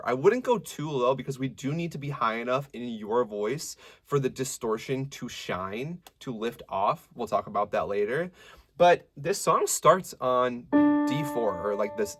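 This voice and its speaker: A man with a medium-low voice teaching a singing lesson, explaining technique clearly and stopping to sing short examples. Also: normal speed, soft background music. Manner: lively, demonstrative teacher who breaks into sung examples. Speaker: vocal coach.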